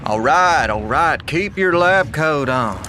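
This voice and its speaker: Folksy, exasperated trucker, fairly high voice, colloquial and wry, audio drama with clear vehicle noise. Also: male voice, expressive, slow pace. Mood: wary, wry. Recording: noisy outdoor vehicle ambience.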